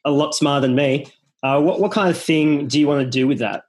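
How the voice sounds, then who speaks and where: Youngish aussie guy hosting a podcast, voice a bit on the high side, matey and jokey. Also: a little fast, darkish tone. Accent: Australian. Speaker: podcast host, interviewer. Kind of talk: casual chat interview.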